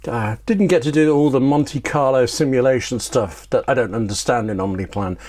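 A man's voice: medium pitch, moderate pace, a little mellow. A man talking casually as an interview guest, telling anecdotes in a wry, self-deprecating way with rambling asides. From Britain.

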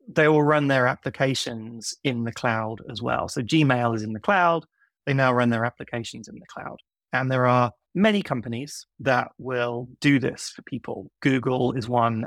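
A man's voice: medium pitch, gravelly, no room sound, studio quality. A middle-aged man with a blended British and American accent, explaining things casually as an interview guest. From United Kingdom. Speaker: podcast guest, software consultant.

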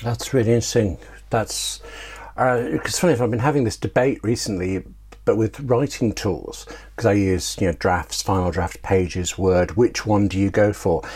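A man's voice: fairly low pitch, moderate pace, a little mellow, slightly rough. A man talking casually as an interview guest, telling anecdotes in a wry, self-deprecating way with rambling asides. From Britain.

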